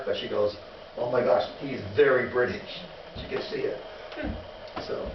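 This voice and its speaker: A man teaching a missions class in a relaxed, halting way, with many restarts and fillers while telling stories. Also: mid-range voice. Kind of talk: informal classroom lecture. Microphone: distant room mic. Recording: echoey, distant, muffled classroom recording with noise.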